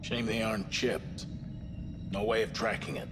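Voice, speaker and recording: Male actor as grave mission commander, sci-fi horror game. Low, measured, authoritative, music underneath. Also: steady tone, lightly acted. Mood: somber, brooding.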